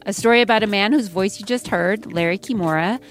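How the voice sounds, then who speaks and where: A woman's voice: medium pitch, fast, faint music behind. A woman co-hosting a podcast, narrating a reported story and interviewing.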